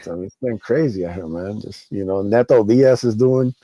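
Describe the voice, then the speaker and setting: A man's voice: on the low side, moderate pace, mellow, no echo, dull audio. A man co-hosting a casual comics podcast, needling the others and hyping a running gag. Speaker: podcast co-host.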